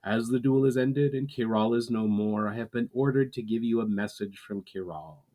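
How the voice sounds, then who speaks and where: A man voicing a proud elderly orc woman, a calm clan leader who speaks slowly and formally in a storybook reading. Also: medium pitch, lightly acted. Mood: solemn, formal.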